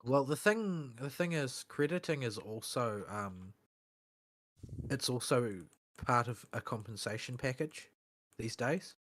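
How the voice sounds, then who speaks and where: A man with a New Zealand accent and a slight lisp, chatting on a gaming podcast. His voice sits at an ordinary middle pitch, and he restarts his sentences a lot. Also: lively intonation, a bit bright. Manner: hesitant, halting with many restarts. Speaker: podcast co-host. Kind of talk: casual chat.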